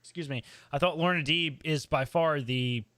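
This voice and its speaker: Slightly high-voiced man, dry casual podcast talk. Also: moderate pace, fairly bright tone, no echo. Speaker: podcast panelist. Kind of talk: casual discussion.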